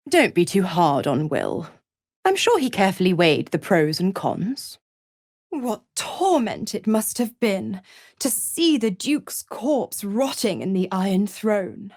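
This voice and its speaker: A woman's voice: medium pitch, a little mellow, no echo, clean studio sound. A woman voicing a sly, seductive half-devil schemer in a video game, purring, teasing and condescending with theatrical flair. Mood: gloating, menacing.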